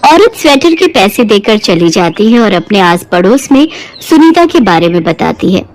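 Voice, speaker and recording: A woman's voice: mid-range voice, very animated, normal speed. A woman narrating a scripted Hindi moral story as a storyteller.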